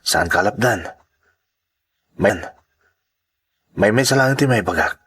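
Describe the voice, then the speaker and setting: A man plays an earnest suitor in a radio drama, gentle, persistent and deeply smitten. He has an Ilocano accent and a fairly low, husky voice. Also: expressive, slow pace, exaggerated voice. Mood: earnest, tender.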